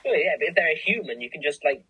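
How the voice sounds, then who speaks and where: A woman's voice: deep voice, animated, fast talker, pushed and bright, husky, low-quality recording. A woman bantering casually and wryly as co-host of a craft show.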